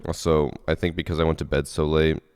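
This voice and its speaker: A man's voice: a bass voice, little pitch movement, fairly quick, a little mellow, clear, resonant tone, no room sound, clean studio sound. A man streaming games from home and chatting casually with his chat, sounding sniffly and congested after a late night, with a laid-back, self-mocking way of talking. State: sniffly, congested from lack of sleep. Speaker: game streamer. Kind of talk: casual live-stream chat, reading chat aloud.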